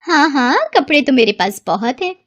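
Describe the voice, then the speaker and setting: A woman voicing a foolish, eager young housewife in an excitable, chirpy way for a cartoon moral story. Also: high voice, moderate pace, no echo. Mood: eager, pleased.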